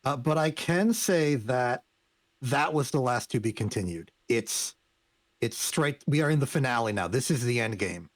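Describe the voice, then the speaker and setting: A man's voice: medium pitch, lively intonation, normal speed, dry recording. A man co-hosting a game stream, chatting casually and giving advice in a hesitant way that repeats words. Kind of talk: casual chat, advising a player.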